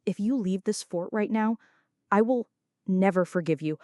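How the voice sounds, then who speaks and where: A woman playing a flirty, anxious female friend in an intimate ASMR roleplay, speaking softly and dramatically, close to the listener. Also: medium pitch, normal speed, no room sound, close to an everyday voice. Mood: scared, pleading. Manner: soft, close, dramatic pleading and confiding.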